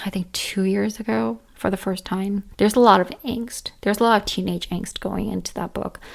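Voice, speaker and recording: A woman with a tired, hoarse voice talks casually and earnestly through a list of favorites, repeating words for emphasis. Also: medium pitch, darkish tone. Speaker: book reviewer, channel host. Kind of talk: casual list-style book and manga chat.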